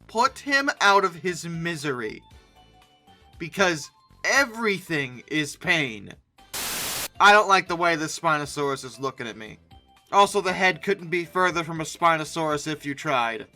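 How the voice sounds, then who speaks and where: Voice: mid-range voice, animated, unhurried, bright, forceful tone, faint music behind. A host reacting off the cuff to images with theatrical, sarcastic comic commentary and frequent exclamations. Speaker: host of a comedy reaction video.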